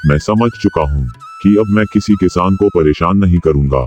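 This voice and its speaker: A man voicing a gruff, deep-voiced scheming landlord. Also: normal speed, a little mellow, lo-fi sound, soft background music, lightly acted. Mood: remorseful. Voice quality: bossy, scornful, talks down to the farmer. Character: scheming greedy village landlord, middle-aged man.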